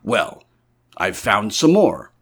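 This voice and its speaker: A man's voice: on the low side, huge pitch swings, slow, a bit bright, breathy edge, heavily acted. A man voicing a secretive, irritable male stranger who speaks in curt, clipped phrases.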